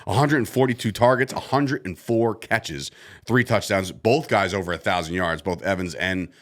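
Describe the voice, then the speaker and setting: Male co-host, lower register, loud and excitable sports chatter. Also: moderate pace. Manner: loud, laughs often, excitable. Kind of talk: casual sports chat.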